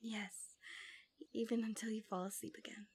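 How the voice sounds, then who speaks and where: A tender but manipulative villainess acted by a woman speaks in an intimate ASMR roleplay. Her voice sits a little high and stays gentle and breathy, often sinking into a whisper. She coaxes and soothes like a possessive, seductive captor. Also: flat delivery, moderate pace, slight background noise, lightly acted. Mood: gentle coaxing.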